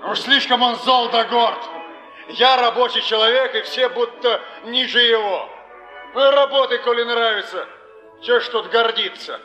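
A cynical, scornful labourer in a stage play, high and forceful in voice. He is blunt and mocking. The old recording is dull and echoey. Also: moderate pace, soft background music. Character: gruff, cynical working man, scornful of pride. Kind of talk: theatrical stage drama.

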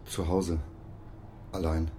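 An actor playing a nervous, defensive call centre worker, hesitant and colloquial, in a German radio crime drama. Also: male voice, low-pitched, little pitch movement, slow, warm tone, a little hiss or hum. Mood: shocked, subdued.

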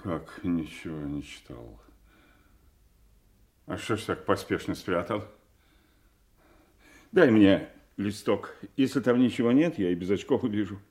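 Slow, theatrical older nobleman. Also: low voice. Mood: suspicious, demanding. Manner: theatrical, emphatic, swinging from hushed to outraged. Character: aging gullible nobleman father, anguished and suspicious. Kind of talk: classical stage acting for an audio play.

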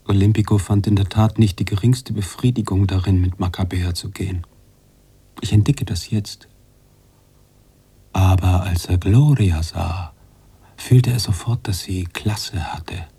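An actor playing a reflective, sombre storyteller in a radio drama, narrating in a measured, musing way. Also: male voice, deep voice, vocal fry, mellow, breathy edge, exaggerated voice.

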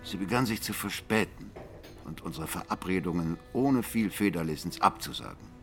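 A blind music critic speaks in a low, widely swinging voice. He is sardonic and brooding, confessing in radio play style with music behind him. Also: animated, moderate pace, fairly bright tone. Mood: wistful, obsessive. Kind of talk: radio drama monologue and dialogue.

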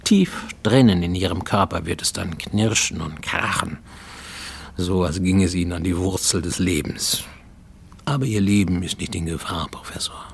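An actor playing a fugitive healer and visionary dreamer in a radio play monologue, speaking intimately and deliberately. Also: male voice, low-pitched, creaky, breathy edge, exaggerated voice. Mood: soothing, eerie. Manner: intimate, deliberate, addressing a listener, dropping to whispers. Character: fugitive Japanese man in Mexico, makeshift healer and tooth-puller, visionary dreamer. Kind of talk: German radio drama monologue.

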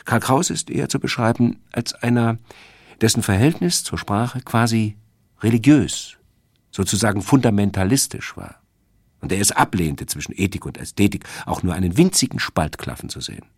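Man, very low voice, rough husky tone, scripted cultural radio commentary, emphatic and erudite, measured delivery. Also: lively intonation, no room sound, studio quality. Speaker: radio presenter introducing a radio play. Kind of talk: scripted literary radio essay.

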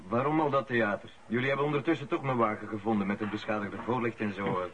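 An actor playing a sullen, impatient arrested thief in a radio play. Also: male voice, lowish voice, fairly even intonation, fast talker, fairly bright tone, natural voice. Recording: dull radio play, faint noise.

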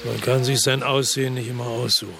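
An actor playing a weary, brooding middle-aged narrator in a radio drama, speaking in a somber, measured, reflective way. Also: male voice, mid-range voice, flat delivery, vocal fry, over music, natural voice. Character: weary, brooding middle-aged male first-person narrator, world-worn investigator.

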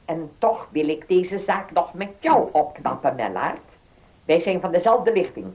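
A woman's voice: fairly low pitch, very animated, unhurried, darkish tone. An actress playing a domineering older lady of an estate in a radio drama, brusque, stubborn and formally commanding. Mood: imperious, businesslike. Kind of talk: Dutch radio drama acting.

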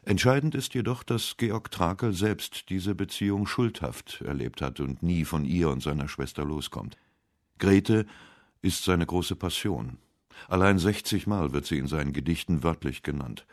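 Male narrator for scripted radio essay, very low register, dry sound. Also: lively intonation, a little slow, no room sound.

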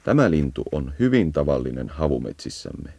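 Very deep-voiced man narrating. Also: very animated, dark tone, slight background noise. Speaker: narrator and nature guide. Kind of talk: scripted educational narration of a birdsong guide.

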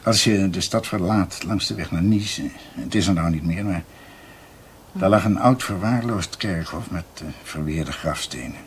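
A bookish older doctor in a radio drama, low in pitch. He muses and hesitates as he recounts family history, with faint noise behind the voice. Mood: musing, narrating. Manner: hesitant, musing, halting. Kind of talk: radio drama acting, storytelling.

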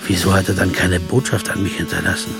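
A man's voice: low voice, little pitch movement, quick pace, creaky, music in the background. An actor playing an earnest jazz pianist who questions people and hesitates when he's worried. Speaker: narrator and protagonist. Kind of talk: audiobook drama acting.

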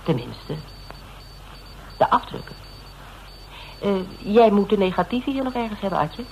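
An actor playing a persistent, polite private detective in a Dutch radio drama, speaking in a measured, explanatory, quietly probing way. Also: male voice, sits high, very animated, gravelly, full character voice. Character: persistent male private detective questioning a suspect, methodical and polite. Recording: noisy old radio drama recording.

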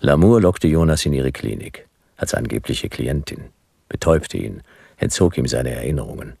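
Gravelly, very deep world-weary detective. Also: male voice, lively intonation, normal speed, darkish tone, no echo, heavily acted. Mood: dry, laconic. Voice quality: gravelly, husky. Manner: laconic, dry. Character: world-weary male private detective of the future. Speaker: narrator and lead character. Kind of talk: scripted noir radio drama narration.

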